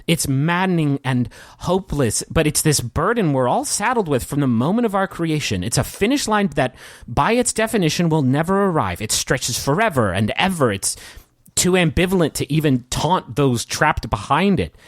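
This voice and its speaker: A man playing a weary, quietly despairing nihilist villain in a tabletop game, given to halting, grandiose philosophical monologues. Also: a little high, sing-song, no room sound, studio quality. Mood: anguished, despairing.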